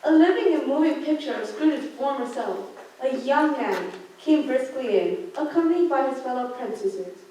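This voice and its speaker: A woman's voice: sits high, lively intonation, normal speed, mellow. A young woman in her teens reading scripted storybook narration for a student radio play. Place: large school theater classroom, indoors. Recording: echoey room, distant mic, background noise.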